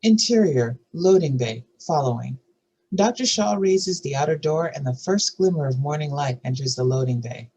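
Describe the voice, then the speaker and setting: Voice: medium pitch, lively intonation, moderate pace, mellow, highs cut off, a little hiss or hum. A narrator reading scene headings and action lines from a screenplay at a table read. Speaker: narrator reading stage directions.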